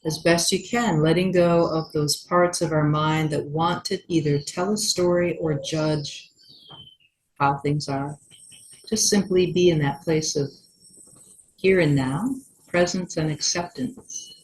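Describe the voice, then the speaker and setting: A woman with a low-pitched voice guides a meditation as a yoga teacher. She speaks gently and takes her time, leaving long pauses between phrases. Faint noise and small clicks sit behind her voice. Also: steady tone, rough recording. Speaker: yoga teacher leading a yoga nidra class.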